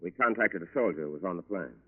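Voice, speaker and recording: Determined G-man, lowish and quick, businesslike old-time radio style, narrow-band vintage recording. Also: animated, breathy edge. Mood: brisk, probing. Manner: clipped, businesslike. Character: brisk, determined male federal agent questioning witnesses. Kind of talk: 1940s radio drama acting. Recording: muffled, reverberant old radio broadcast recording.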